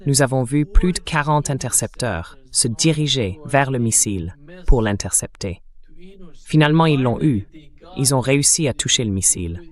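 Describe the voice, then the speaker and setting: A man's synthetic AI voice reading a translated interview as the interviewer. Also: mid-range voice.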